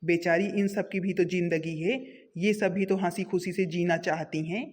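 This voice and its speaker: A man's voice: high-pitched, steady tone, normal speed. A man voicing a principled, caring younger brother in a family comedy cartoon, speaking in an earnest, protesting way. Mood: hurt, firm.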